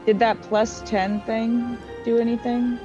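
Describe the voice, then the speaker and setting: A woman's voice: on the high side, flat delivery, takes it slowly. A woman playing a tabletop game, chatting casually and playfully with slangy, eager delivery. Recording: dull lo-fi audio, music behind. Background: music.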